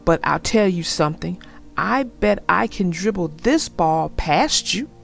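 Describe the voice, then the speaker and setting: A woman's voice: lowish voice, lively intonation, measured pace, creaky, faint music behind. A woman voicing a stubborn, dismissive young boy bear cub, contrary and emphatic, in a storybook read-aloud. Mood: defiant. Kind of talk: storybook character voice.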